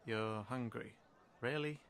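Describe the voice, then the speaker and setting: A man's voice: medium pitch, slow pace, fairly bright tone, slight background noise. A British man playing a young cat townsman in an audio roleplay, informal and hesitant, guarded but friendly as he guides the listener. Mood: guarded, practical. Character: wary, helpful young male cat townsman guiding a human.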